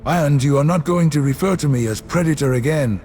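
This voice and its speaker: A man voicing a stern, calm human soldier who speaks in a measured way to his friend. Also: fairly high pitch, close to an everyday voice. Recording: long echoing reverb, background noise.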